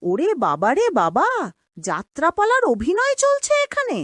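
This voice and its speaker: A woman's voice: very high voice, huge pitch swings, moderate pace, a bit bright, no echo, clean studio sound, heavily acted. A woman playing a cruel aunt in a cartoon drama, scolding and sarcastic in a harsh, nagging manner. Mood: mocking. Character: cruel, scolding aunt bullying her orphaned niece.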